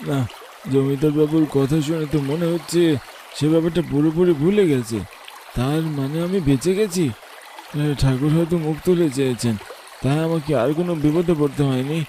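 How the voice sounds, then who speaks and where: A man's voice: on the high side, brisk, dark tone, a little hiss or hum, close to an everyday voice. A man playing a humble, fearful village boatman in a horror cartoon, stammering and deferential. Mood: anxious relief. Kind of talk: Bengali horror cartoon voice acting.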